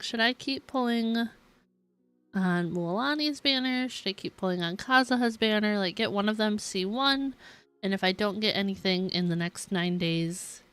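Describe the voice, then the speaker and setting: A woman's voice: medium pitch, smooth. A woman streaming a video game and chatting casually with viewers in a rambling, giggly, filler-heavy way. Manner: rambling, giggly, hedging with frequent "like". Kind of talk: casual live-stream chat while playing a game. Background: game music.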